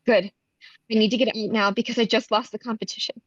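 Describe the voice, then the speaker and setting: A woman's voice: fairly high pitch, level tone, fast talker, dry recording, dull audio, lightly acted. A woman playing a frightened but defiant young beauty pageant contestant, urgent and emotional, in a screenplay table read. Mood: anxious.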